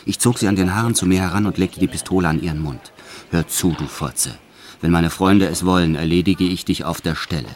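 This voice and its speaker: A man acting as a hardened, violent gangster tells his own story in a radio drama. His voice is extremely deep. He recounts brutal deeds in a measured, matter-of-fact way, with tenderness underneath. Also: natural voice. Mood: menacing, enraged. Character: violent male career criminal confessing his life, brutal yet tender. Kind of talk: first-person radio play narration.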